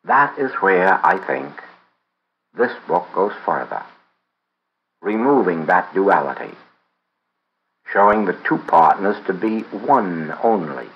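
A man reading a spiritual book aloud in a measured, deliberate way, pausing often between phrases. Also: deep voice, very animated, muffled recording. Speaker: reader of a book. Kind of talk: read-aloud audiobook of a spiritual text.

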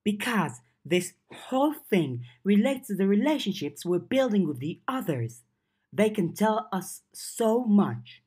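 Narrator doing a high-pitched, insistent teenage girl. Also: very animated, a little slow, a little mellow, husky. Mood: defensive. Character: keen, defensive, scheming teenage girl.

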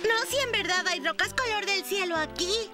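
A woman's voice: very high voice, normal speed, over music. A woman voicing a sweet, eager tiny child dinosaur in a cartoon dub, with childlike repetition and a Latin American accent. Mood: eager, hopeful. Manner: childlike, repeats words eagerly. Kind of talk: Spanish cartoon dub acting.

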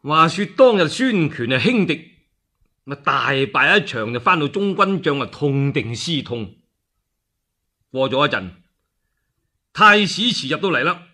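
Male radio storyteller, Cantonese accent, historical epic. Highly animated, forceful, colloquial delivery. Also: a little slow, long pauses. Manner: animated, colloquial, acts out dialogue. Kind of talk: dramatic solo radio storytelling of a historical novel.

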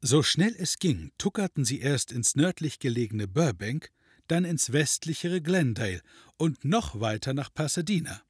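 An actor playing the wry narrator of a detective comedy audio drama, reading scripted narration. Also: male voice, medium pitch, sing-song, normal speed, breathy edge, no echo, clean studio sound. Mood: dryly ironic.

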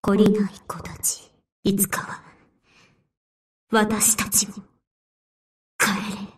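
A woman voicing a weary, solemn sea demoness in a Japanese anime game, breathy and wistful. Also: mid-range voice, completely flat delivery, slow, whispered, close to an everyday voice. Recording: distant-sounding game voice.

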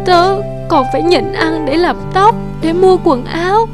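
A woman's voice: very high-pitched, unhurried, over music. A woman voicing a tearful, insecure cartoon girl, upset and confessional. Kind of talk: cartoon dubbing.

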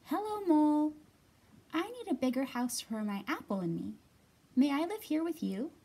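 Lady doing a tiny polite storybook mouse girl, squeaky and hopeful, bouncy pitch. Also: big pitch swings, normal speed, dark tone. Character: small female mouse, polite, eager, hopeful storybook heroine.

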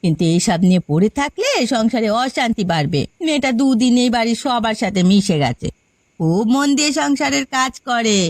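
A woman's voice: fairly high pitch, lively intonation, fairly quick, no room sound. A woman voicing a kindly, sensible older sister-in-law in a cartoon story, coaxing and advising like a peacemaker. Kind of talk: cartoon story character acting.

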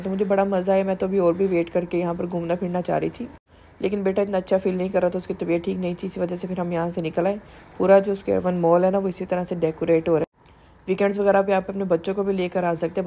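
Woman vlogger, chatty voice-over, low mellow tone. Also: little pitch movement, fast, slight background noise. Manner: chatty, candid shopping commentary with English words mixed in. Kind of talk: casual voice-over vlog narration.

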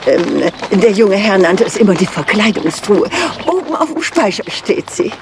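An actress playing a forthright, deferential and chatty household maid in a German radio crime drama. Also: female voice, medium pitch, big pitch swings, normal speed, background noise. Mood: confiding. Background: music.

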